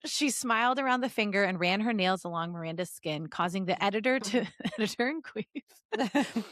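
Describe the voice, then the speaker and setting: A woman's voice: mid-range voice, a bit bright, dry recording. Playful woman podcaster, dry close sound. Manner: playful, giggly. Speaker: podcast co-host reading fan fiction aloud. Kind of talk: expressive story reading mixed with casual banter. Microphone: close podcast microphone.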